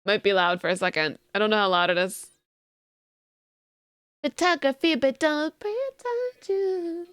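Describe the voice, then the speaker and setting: A woman streamer with a slightly high voice chats loosely with her viewers, sounding a little sleepy since she just woke up. Her voice is dry and close, with no room around it. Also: unhurried, dry recording. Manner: chatty, thanks viewers warmly and giggles. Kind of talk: casual live-stream chat.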